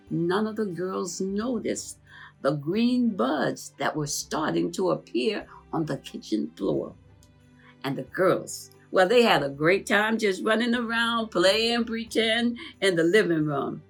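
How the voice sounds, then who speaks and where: A woman's voice: medium pitch, creaky, husky. A woman narrating a children's storybook in a conversational storytelling manner.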